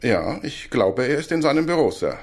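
A man's voice: mid-range voice, big pitch swings, normal speed, gravelly, husky, exaggerated voice. A man acting in a German radio play as a dutiful police office subordinate, brief and deferential.

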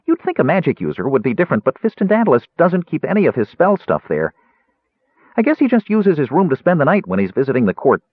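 Male reader as little kender, high-pitched, eager, guileless, rambling, fantasy audiobook, narrow tinny band. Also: wildly swooping pitch, fast talker, no room sound. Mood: chatty, blithe. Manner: rambling, eager, matter-of-fact reasoning. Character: chatty, guileless small kender, excitable and earnest.

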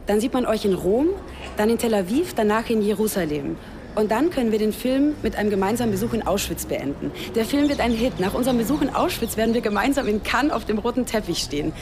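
An actress playing a brash, tactless German journalist of about thirty in a radio drama. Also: female voice, fairly high pitch, animated, fast, noisy background. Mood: breezy pitching. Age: 30s.

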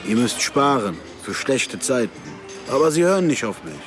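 A man acting as a smooth, self-righteous moneylender in a radio play. His mid-pitched voice swings widely as he preaches like a family patriarch, unctuous and emphatic. Music sits underneath. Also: big pitch swings, normal speed, lightly acted. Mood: smoothly righteous. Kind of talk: naturalistic radio drama acting.

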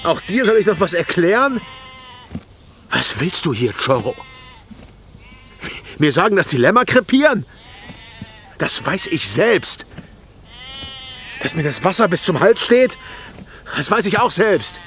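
Embittered herder, slow and wildly swinging. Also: male voice, high voice, very animated, a bit bright, slight background noise, full character voice. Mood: bitter, seething. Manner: bitter, explosive, sarcastic. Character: embittered, defiant male Kyrgyz herder at breaking point. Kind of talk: radio drama acting.

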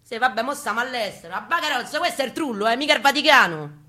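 A woman performing a furious, mocking working-class male militant in a story, shouting sarcastically in a Roman accent. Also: a little high, lively intonation, quick pace, voice pushed up. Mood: mocking anger.

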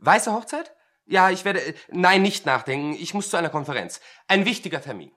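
An actor playing a flustered, indecisive company director in a radio play, husky, hesitant and easily exasperated. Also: male voice, high-pitched, very animated, a little fast. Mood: agitated. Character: flustered, indecisive male company director, pondering his engagement.

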